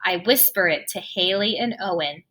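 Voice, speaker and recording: A woman reading a children's picture book aloud, expressive and playful with the sound words. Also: lowish voice, moderate pace, breathy edge. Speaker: storybook reader.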